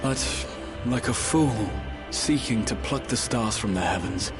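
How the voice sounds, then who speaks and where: Man as a slow, solemn, wistful storyteller. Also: medium pitch, animated, over music, close to an everyday voice. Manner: measured, grave, poetic narration. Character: solemn, wistful male storyteller recalling youthful dreams. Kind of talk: video game cutscene narration.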